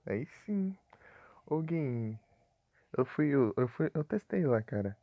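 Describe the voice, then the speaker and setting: A man's voice: medium pitch, very animated, slow, no echo, lo-fi sound. A Brazilian man from Paraná streaming a game live, chatting casually with viewers and chuckling often.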